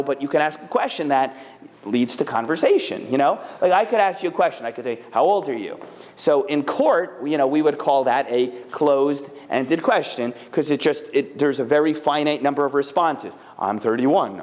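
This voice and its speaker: Chatty man in a reverberant hall. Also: a little high. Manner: chatty, animated, full of fillers. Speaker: speaker answering audience questions. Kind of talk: casual talk to a live audience.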